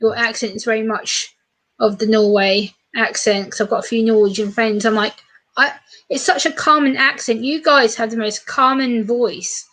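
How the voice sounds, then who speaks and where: Woman from the UK, bright tone, quick chatty manner, casual live stream. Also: on the high side, poor audio. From United Kingdom. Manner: fast, animated, chatty.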